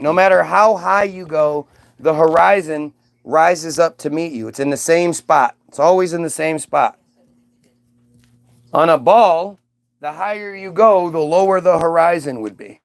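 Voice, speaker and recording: A man's voice: fairly high pitch, measured pace, creaky, no echo. A man hosting a livestream, arguing heatedly with viewers in a mocking, combative way, swearing and restarting his sentences.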